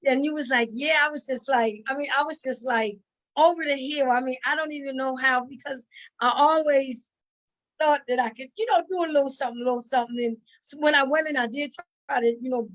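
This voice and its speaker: A mature woman with a fairly high voice chats as a guest in an interview. She tells stories informally, starting haltingly and dropping in bits of quoted speech. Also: low-quality recording. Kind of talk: casual chat, storytelling.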